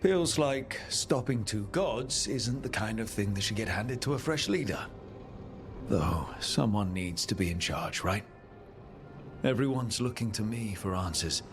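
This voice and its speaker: Earnest young hero leader. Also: male voice, fairly low pitch, animated, unhurried, music in the background. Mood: uncertain, earnest. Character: young male leader of heroes, earnest, resolute. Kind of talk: dramatic video game acting.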